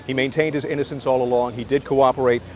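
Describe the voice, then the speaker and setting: A man's voice: medium pitch, fairly even intonation, fast talker, darkish tone. An American man anchoring live breaking news outdoors from a residential neighborhood. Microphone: handheld broadcast microphone. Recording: live outdoor TV audio with some noise.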